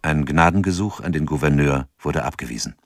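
An actor playing a narrator in a radio drama, reading scripted narration in a sober, measured and factual way. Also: male voice, extremely low pitch, animated.

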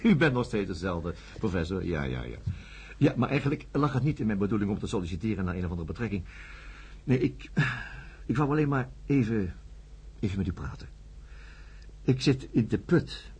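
A man's voice: on the low side, very animated, moderate pace, pauses often, warm tone, slightly rough, exaggerated voice. A man playing an earnest, self-doubting psychiatrist in a radio drama, reflective and at times hesitant. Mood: dejected, troubled. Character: earnest, self-doubting younger psychiatrist, former student of a professor. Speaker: narrator and lead character. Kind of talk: radio drama acting with first-person narration.

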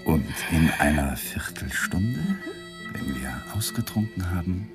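An actor playing a cornered, defensive young lawyer in a radio drama, agitated and hesitant, at times breathy. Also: male voice, sits low, huge pitch swings, slow, over music, exaggerated voice. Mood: tender. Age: young adult. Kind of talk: 1980s German radio drama acting.

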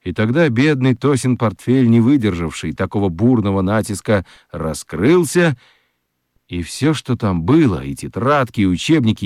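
A man narrating a children's radio story with dramatic, expressive delivery and deliberate pauses. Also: fairly low pitch, dry recording, studio quality.

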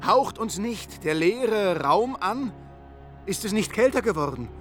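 An actor playing an anguished, searching mad prophet in a dramatic radio recitation. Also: male voice, sits high, animated, unhurried, soft background music.

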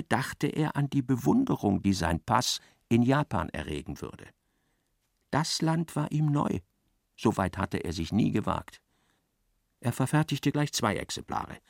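An actor playing a dry storyteller, giving measured, precise literary narration in a radio play. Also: male voice, lowish voice, creaky, no room sound, heavily acted. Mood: measured, emphatic.

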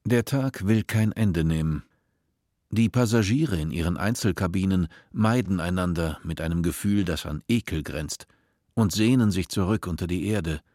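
Brief: male narrator, very low voice, dry close studio sound, measured delivery. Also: a little mellow, no echo. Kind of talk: scripted narration.

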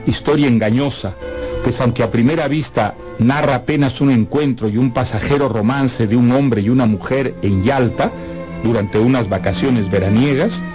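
A man's voice: mid-range voice, normal speed, a little mellow. Formal male literary presenter over music. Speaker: literary presenter introducing a radio drama. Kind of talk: scripted literary commentary.

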